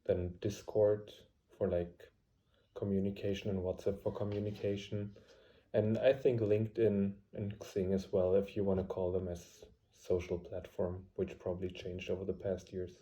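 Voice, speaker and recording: Male, twenties, interviewee; very dark low voice, fairly flat delivery. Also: moderate pace. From Germany. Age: 20s. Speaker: student interviewee. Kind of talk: casual interview answers.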